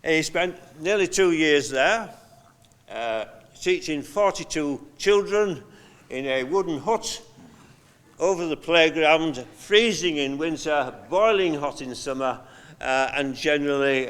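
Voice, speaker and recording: Older male, clear smooth voice, halting conversational delivery, eulogy storytelling, long hall reverb. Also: on the high side, fairly bright tone. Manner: halting, conversational, with pauses and wry anecdotes. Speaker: eulogy speaker, friend of the deceased. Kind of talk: memorial eulogy, anecdotal storytelling from notes. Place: large hall at a memorial service.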